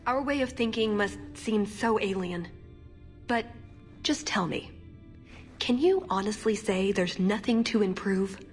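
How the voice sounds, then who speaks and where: A woman's voice: medium pitch, unhurried, faint music behind, close to an everyday voice. A woman playing a wry, earnest young elven agent in a video game. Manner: flippant and wry, turning earnest.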